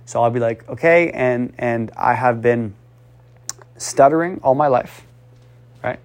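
A man giving casual coaching advice on speaking, animated and repetitive, often sighing and urging the listener on. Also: mid-range voice, measured pace. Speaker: speech coach.

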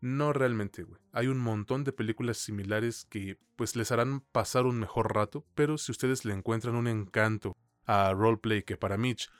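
A man with a Mexican accent reviews films as a podcast co-host. His voice is fairly low, and the sound is dry with little room around it. He talks casually and colloquially, with clear opinions. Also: fairly even intonation, normal speed, no echo, faint music behind. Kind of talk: casual conversational film review.

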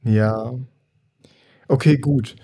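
A young adult man co-hosting a podcast, chatting casually about films in a measured, thoughtful way with frequent hesitations. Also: medium pitch, steady tone, warm tone, husky. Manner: measured and thoughtful, hedging with fillers. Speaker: podcast co-host. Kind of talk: casual film-discussion chat.

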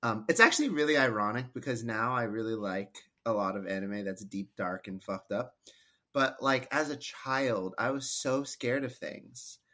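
A man's voice: mid-range voice, moderate pace, sharp tone. A man co-hosting a podcast, telling a rambling, self-deprecating story in casual chat. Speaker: podcast co-host.

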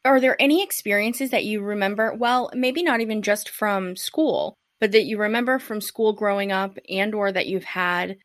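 American lady host, mid pitch, talks loose and restarts a lot, dry room. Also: normal speed, hardly any pauses, dry recording. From United States. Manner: chatty, searching for words, self-correcting. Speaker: podcast host. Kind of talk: conversational interview.

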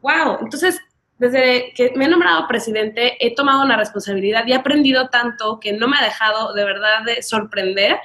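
A woman's voice: on the high side, normal speed, pushed and bright. A woman in her early thirties with a Mexican accent, telling her story casually and animatedly as a podcast guest, often acting out bits of dialogue. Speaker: podcast guest, wedding planner.

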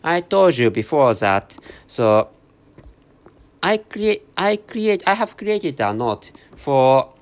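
A man with a Japanese accent hosting a solo podcast from a hotel room, speaking English slowly and carefully, with constant restarts and self-corrections. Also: on the high side, very animated. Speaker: podcast host. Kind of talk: unscripted solo podcast monologue.

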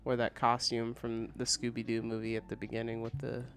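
A man's voice: medium pitch, monotone, brisk, fairly bright tone. A man co-hosting a podcast in casual banter, with a sweary, crudely joking way of talking. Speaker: podcast co-host. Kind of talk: casual nerd-news banter.

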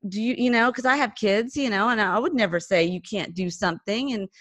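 A woman's voice: mid-range voice, a little fast, no echo. A woman hosting a faith podcast, reflective and affirming as she talks with her guest. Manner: warm affirming listener, reflective. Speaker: podcast host. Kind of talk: faith-based podcast conversation.

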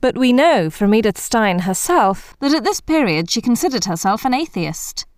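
A woman reading a scripted biographical narration in a measured, formal manner. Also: on the high side, big pitch swings, dry recording, clean studio sound.

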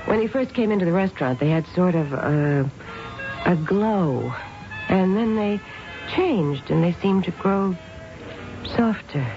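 A woman playing a poised wife with an arch, playful manner and deliberate phrasing in an old-time radio drama. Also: lowish voice, lively intonation. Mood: musing. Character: poised wife chatting wryly over breakfast. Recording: dull old radio recording, loud music.

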